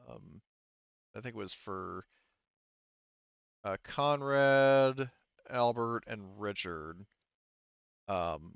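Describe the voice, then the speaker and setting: A man talking casually as game master to his players in a halting, hedging way. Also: fairly low pitch, vocal fry, fairly bright tone, clear, resonant tone, no room sound, lo-fi sound. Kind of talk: casual game-running talk among players. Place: online voice session.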